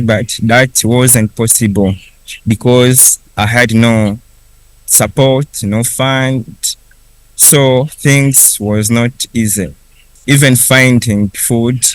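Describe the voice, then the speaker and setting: A young man whose first language is Kiswahili, telling his personal story slowly in English, with pauses and restarts. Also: medium pitch, dry recording, poor audio. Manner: unhurried, with frequent restarts and pauses. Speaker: refugee speaker at a conference.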